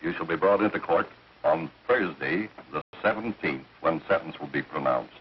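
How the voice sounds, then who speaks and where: An actor playing a stern judge in a 1930s film, speaking in a formal, measured way. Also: male voice, sits low, expressive, breathy edge, full character voice. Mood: stern, official. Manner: formal, measured, pronouncing rulings. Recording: dull 1930s film soundtrack.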